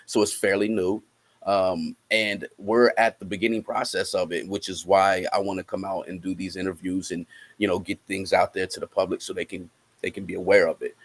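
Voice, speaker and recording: A man's voice: sits low, little pitch movement, a little fast. A man giving interview answers as a guest, repeating words as he goes and tagging phrases with "right?". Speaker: guest musician promoting a film project.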